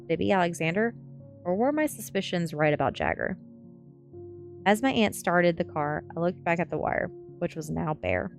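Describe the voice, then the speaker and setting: A woman with a low voice narrates a story as a read-aloud. She speaks close to the microphone, and faint music plays behind her. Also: animated, moderate pace, vocal fry. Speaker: streamer reading a novel aloud. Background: faint background music.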